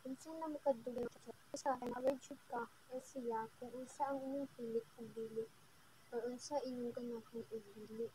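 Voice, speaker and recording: High, dark-toned Filipino woman listener. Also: steady tone, normal speed, a little hiss or hum, poor audio. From Philippines. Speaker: radio listener giving advice. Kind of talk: casual listener comment.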